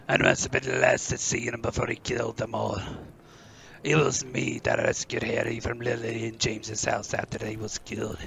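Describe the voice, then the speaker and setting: A man playing a big-hearted, rough groundskeeper in an audio play, gruff and emotional, with a gravelly voice. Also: mid-range voice, a bit bright, slight background noise. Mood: bitter anger.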